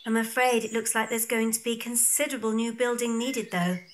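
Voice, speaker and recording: High-voiced spirited young bride Paula, acted by a woman. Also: flat delivery, a little fast, a little hiss or hum, natural voice. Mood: tender, reassuring. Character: Paula, spirited young newlywed Victorian heiress, affectionate. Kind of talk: period audio drama acting.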